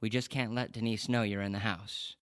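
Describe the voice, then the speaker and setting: A man playing a smug, breezy scientist in an audio drama, glib and quick to justify himself. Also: fairly low pitch, little pitch movement, a bit bright, clean tone, no room sound, clean studio sound, close to an everyday voice. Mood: glib, apologetic.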